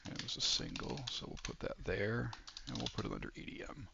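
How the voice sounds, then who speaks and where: A man giving an informal software demo as a student presenter, with a somewhat hesitant, halting delivery. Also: lowish voice, huge pitch swings, creaky, slight background noise. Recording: muffled, echoey room recording.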